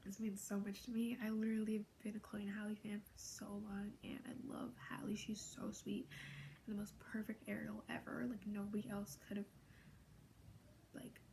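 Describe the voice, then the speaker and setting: A young woman in her late teens talking in a casual fan vlog, gushing in a soft, half-whispered way, with lots of "like" fillers. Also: medium pitch, vocal fry, noisy background. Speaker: vlogger.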